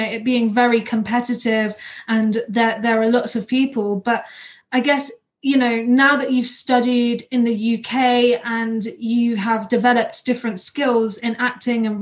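A woman hosting an interview, asking long, friendly, rambling questions. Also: a little high. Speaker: podcast host. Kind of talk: casual interview. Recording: echoey room.

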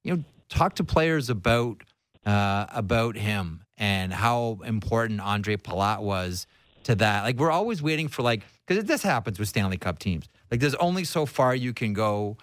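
A man's voice: fairly low pitch, expressive, moderate pace, no room sound. A man hosting a sports talk show, giving conversational analysis emphatically and repeating phrases for stress.

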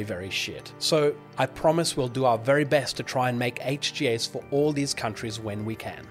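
A man hosting a podcast in a friendly, wry, measured way. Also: medium pitch. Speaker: podcast host. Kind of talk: interview and scripted sign-off.

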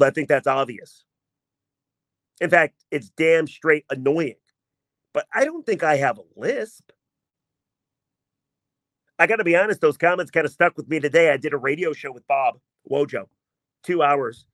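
Lively, high-voiced male sports podcast host. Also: dry recording, studio quality. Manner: animated, emphatic and chatty. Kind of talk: sports talk podcast monologue.

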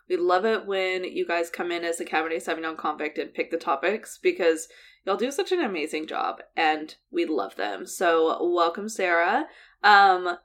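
A woman with a lower voice and a General American accent chats as a podcast co-host. She talks in an easy, friendly way and laughs often. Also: a bit bright. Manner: laughs easily, chatty. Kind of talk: casual podcast chat.

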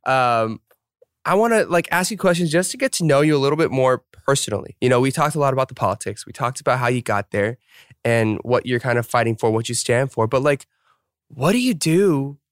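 A man's voice: medium pitch, lively intonation, fairly quick, no echo, clean studio sound. A young man hosting a podcast, upbeat and chatty, full of fillers like "like" and "um". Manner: upbeat, filler-heavy, self-deprecating. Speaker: podcast host. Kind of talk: casual interview chat.

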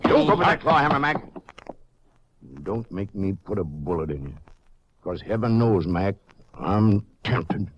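A tough American country sheriff, brusque and commanding, with pitch that moves around a lot. It is 1950s radio western acting, heard on a dull recording with light noise. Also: male voice, very animated, measured pace, long pauses. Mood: menacing. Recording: muffled, slightly noisy old radio recording.

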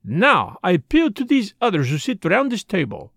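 Man performing elderly male storybook detective, courteous, grave, gravelly edge, dramatic pauses. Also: high-pitched, wildly swooping pitch, fairly bright tone, no room sound, clean studio sound, pitched above the performer's own voice, full character voice. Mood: stern appeal. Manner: formal, pleading, dramatic pauses.

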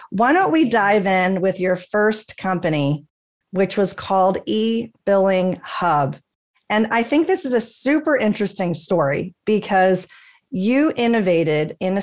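A woman hosting a podcast interview, reading a scripted intro and then asking enthusiastic questions. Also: medium pitch. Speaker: podcast host.

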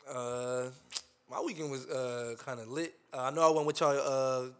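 A man's voice: medium pitch, fairly even intonation, normal speed, smooth. A young man co-hosting a casual chat, slangy, swearing, and full of "uh" and "um". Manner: slangy, heavy fillers and swearing. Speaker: podcast co-host.

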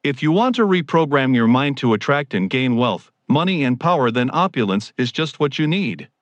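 A man's voice: mid-range voice, big pitch swings, normal speed, no room sound, muffled recording. A man delivering a scripted promotional narration in a grandiose, formal salesman's manner. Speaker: promoter of his own audio programs.

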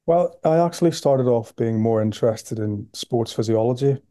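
Northern Irish man, 40s, podcast guest; very dark, even voice, dry close sound. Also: mid-range voice, moderate pace, dry recording. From Belfast, Northern Ireland. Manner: relaxed, steady, with frequent "um" and repeated words. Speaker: guest, sports nutrition professor. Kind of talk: podcast interview.

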